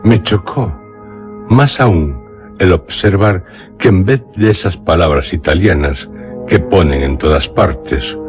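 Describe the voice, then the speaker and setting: A man narrating a literary tale for radio theatre in a solemn, deliberate manner with dramatic pauses. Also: low-pitched, animated, faint music behind. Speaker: radio storyteller.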